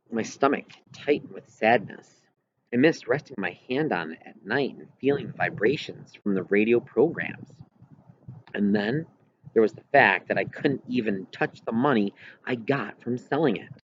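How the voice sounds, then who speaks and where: A man reading a first-person story aloud as scripted audiobook narration. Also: lowish voice. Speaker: audiobook reader. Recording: muffled, clearly noisy home recording.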